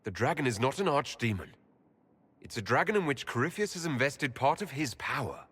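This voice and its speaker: Resolute elven hero, expressive. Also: male voice, mid-range voice, a little fast, husky. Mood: determined. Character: resolute male elven hero, solemn and dry. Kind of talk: dramatic fantasy video game acting.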